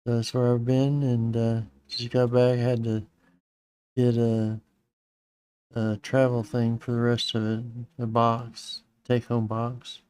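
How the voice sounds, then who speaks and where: A middle-aged man with a slightly raspy voice, hosting a casual live-streamed Linux tutorial, rambling with fillers and restarts. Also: medium pitch, monotone, takes it slowly, a little mellow. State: slightly raspy, clearing his throat.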